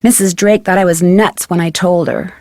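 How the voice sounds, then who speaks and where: A woman's voice: on the low side, fairly even intonation, normal speed, a little mellow, no room sound, clean studio sound, natural voice. A woman playing a curious young female detective in a video game, speaking conversationally with an American accent in an earnest, matter-of-fact way. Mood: earnest, intrigued. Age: young adult. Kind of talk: video game dialogue acting, conversational phone chat.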